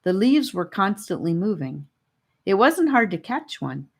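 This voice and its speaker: A woman narrating a children's fantasy audiobook from a script. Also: lowish voice. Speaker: audiobook reader.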